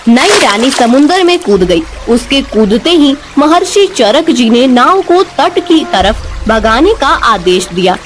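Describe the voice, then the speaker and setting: A woman's voice: sits high, big pitch swings, slow pace, noisy background. A woman narrator reading a scripted story for a cartoon.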